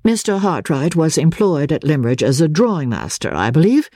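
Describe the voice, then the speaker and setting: A woman voicing a courteous, insistent gentleman baronet in formal, persuasive speech for a dramatic audiobook. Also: low-pitched, big pitch swings, fairly quick, dry recording, clean studio sound. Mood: probing, polite. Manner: formal, elaborately polite, persuasive.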